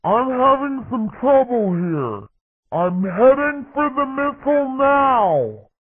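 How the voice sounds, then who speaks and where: An extremely high, boyish young frog pilot for a video game, calls out over a thin, tinny radio. The delivery is eager and anxious, drawn out and slow, with pitch that swings around a lot. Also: male voice, sing-song, heavily acted. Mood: urgent. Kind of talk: video game voice acting, short radio callouts. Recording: tinny, band-limited radio-like game audio.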